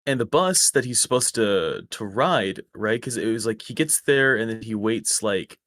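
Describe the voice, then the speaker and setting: A man with a slightly raspy voice, rambling through a story in casual chat over a voice call. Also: lowish voice, lively intonation, moderate pace, a bit bright. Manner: rambling, self-correcting storyteller. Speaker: stream guest. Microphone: close microphone.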